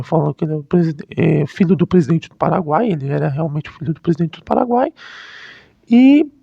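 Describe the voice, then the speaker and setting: High-voiced Brazilian man, halting delivery. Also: vocal fry, mellow. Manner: halting, frequently rephrasing mid-sentence. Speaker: history YouTuber. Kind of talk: casual unscripted commentary. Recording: dull, lo-fi, roomy sound.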